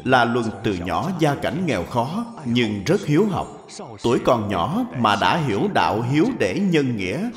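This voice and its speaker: A man's voice: mid-range voice, big pitch swings, a little slow. A man narrating a scripted story as the narrator of a cartoon. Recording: echoey long reverb over music.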